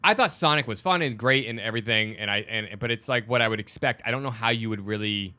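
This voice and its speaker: A man co-hosting a podcast, giving opinions in casual, animated movie chat. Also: on the low side, fast talker, dry recording. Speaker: podcast co-host.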